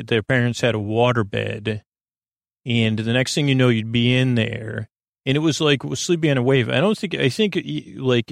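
A middle-aged man speaks in a gravelly, creaking voice of ordinary male pitch, recorded very dry. He delivers a meandering bedtime monologue as a podcast host. His sentences stall, restart and loop back on themselves. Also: no echo. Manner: halting, circling, self-interrupting delivery with false starts.

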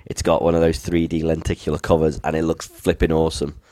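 A man co-hosting a casual chat, his voice croaky from being unwell, talking enthusiastically with many restarts. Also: a bass voice, little pitch movement, fast, mellow, slight background noise. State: croaky from illness. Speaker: podcast co-host.

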